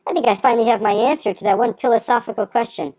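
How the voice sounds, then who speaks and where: A man's voice: very high-pitched, very fast, a little mellow, pitched above the performer's own voice. A man voicing a curious, innocent young female kitten in an audiobook, chatty and earnest with a childlike, inquisitive way of talking. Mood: eager. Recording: echoey, long reverb.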